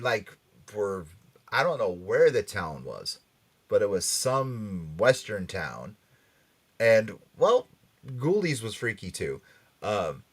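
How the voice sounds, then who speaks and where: A man's voice: lowish voice, a bit bright, slightly rough. A middle-aged man hosting a casual pop-culture radio panel, talking in a rambling, emphatic fan's way. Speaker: radio show host.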